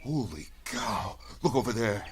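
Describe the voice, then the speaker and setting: A man's voice: medium pitch, very animated, a little slow, noisy background. A man acting a plain-spoken, easily amazed sidekick in a radio adventure serial, blunt and folksy. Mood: awed, uneasy. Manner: folksy exclamations, blunt, hesitant.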